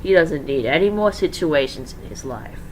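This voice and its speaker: A performer voicing a smug, menacing businessman with drawn-out, taunting politeness, in an amateur story reading. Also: medium pitch, lively intonation, a little hiss or hum, close to an everyday voice, low-quality recording.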